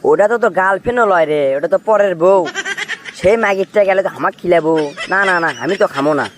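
Man voicing a fast-talking, needling village freeloader. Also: high-pitched, background noise, lightly acted. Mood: scornful. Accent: Bogura, northern Bangladesh. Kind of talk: comic cartoon dialogue in rural dialect.

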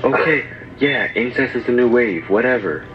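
A man's voice: medium pitch, animated, moderate pace. A young man pranking with a staged phone call, speaking in a brazen, casual manner. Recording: dull lo-fi playback with music behind. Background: music.

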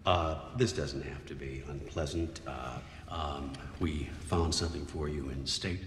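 An awkward, placating agency boss in a film drama, with a rumbling, extremely low voice. He hedges and stumbles along, processed by an electronic voice changer in a reverberant recording with some background noise. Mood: flustered, hedging. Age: 50s. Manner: halting, hedging, awkward. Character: uneasy, placating middle-aged intelligence agency boss. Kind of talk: film acting. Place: small office.

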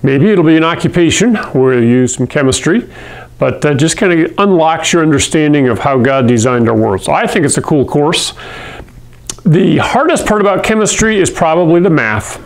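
A middle-aged man from Hawaii teaching chemistry to camera in a classroom, talking in a friendly, conversational way and often clearing his throat. He speaks into a lapel mic. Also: on the high side, lively intonation, moderate pace. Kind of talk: video lesson to camera. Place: classroom in front of a whiteboard.

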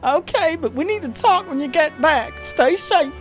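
A man voicing a worried, caring young woman in a video game, in a loud, high falsetto. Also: expressive, moderate pace, soft background music. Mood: worried, pleading.

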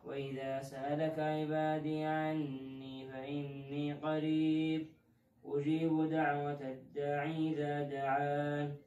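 A young man, reciting Quran in a melodic chant and talking quickly on the phone in a living room. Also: a little high, level tone, darkish tone. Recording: echoey, distant, muffled camera audio.

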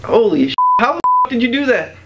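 A man's voice: very high-pitched, sing-song, fast, background noise, exaggerated voice. A man in his thirties acting a sarcastic, exasperated, slangy guy in an amateur short film. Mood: amazed, uneasy.

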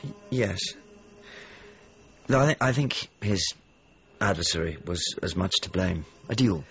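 A low-voiced, earnest painter in a radio play, searching for a missing friend. He speaks in short, terse phrases. The sound is slightly dull, with clear background noise. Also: lively intonation, a little slow, fairly bright tone, exaggerated voice. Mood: guarded. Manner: terse, clipped narrative phrases. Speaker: narrator and lead actor. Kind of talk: radio drama acting and first-person narration.

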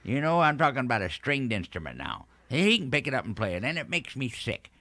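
A man's voice: medium pitch, very animated, a little fast, sharp tone, no room sound. A man voicing a folksy, boastful old camp cook and comic sidekick, rambling along in an American Southern accent. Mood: rambling, storytelling. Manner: rambling, folksy, with odd catchphrase grunts. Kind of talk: improvised comic interview in character.

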